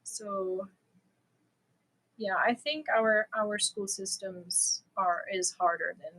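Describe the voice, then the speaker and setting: A woman's voice: mid-range voice, fairly even intonation, slow pace, fairly bright tone, poor audio. A woman from Germany, chatting casually and a little nervously as she hosts a live stream, prone to giggling. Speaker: crochet live stream host.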